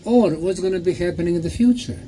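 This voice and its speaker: A man giving a public talk in short, deliberate, emphatic phrases, pausing often for an interpreter. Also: high-pitched. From Bosnia. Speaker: lecturer. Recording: clear steady background noise.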